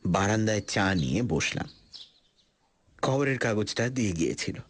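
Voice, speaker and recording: A man narrating a suspense story dramatically, in a scripted first-person style with deliberate pauses. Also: lowish voice, lively intonation, slight background noise. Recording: loud music under the voice. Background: loud background music.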